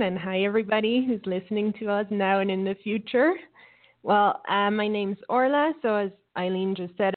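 A woman co-hosting a casual online radio chat, friendly and explanatory in manner. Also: mid-range voice. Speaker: co-host and facilitator. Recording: phone-line call audio.

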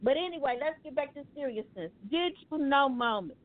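A woman hosts a community radio talk show in a loose, joking way, keeping the segments moving. Her voice sits a little high and comes through thin and phone-like. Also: animated, a little fast. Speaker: radio host. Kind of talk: casual radio chat. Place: radio studio. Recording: tinny phone-like radio audio.

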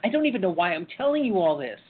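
A man acting in a radio play as a despondent young man with a husky voice, hesitant and defensive, sometimes stammering. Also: high voice, very animated, very fast, exaggerated voice. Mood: brooding, uneasy. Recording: tinny, phone-like narrow-band audio.

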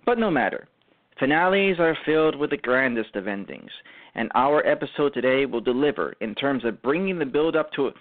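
Radio host on tinny phone line. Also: male voice, mid-range voice. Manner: formal, elaborate announcer phrasing with halting stumbles. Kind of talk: live scripted show introduction.

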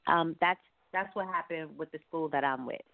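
A woman's voice: low voice, normal speed, creaky, no echo. A woman talking casually as an interview guest over a call. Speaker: guest, singer and entrepreneur. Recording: thin phone-like call audio.

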